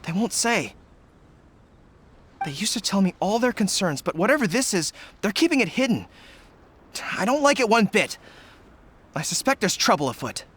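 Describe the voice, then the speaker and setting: A man's voice: high voice, sing-song, normal speed, pauses often, fairly bright tone, slight background noise. A man playing an earnest young general in a video game, dutiful, sincere and formal. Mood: concerned. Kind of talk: animated game dialogue.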